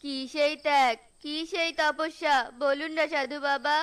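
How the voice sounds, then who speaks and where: A woman's voice: high-pitched, fairly even intonation, measured pace, fairly bright tone. A woman voicing an eager, trusting young bird who leads the flock, earnest and pleading.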